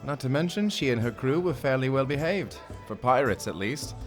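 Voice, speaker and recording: A man's voice: mid-range voice, expressive, normal speed, faint music behind, close to an everyday voice. A man playing a self-satisfied tiefling tavern keeper in a fantasy audio drama, breezy, playful and smug. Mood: proud, casual.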